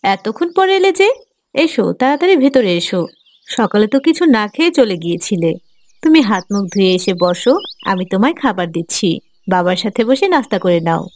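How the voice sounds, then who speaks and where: A woman voicing a brisk, scolding mother in a cartoon, speaking to her young daughter. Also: mid-range voice, big pitch swings, a little mellow. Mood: brisk, caring. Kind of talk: animated cartoon dialogue.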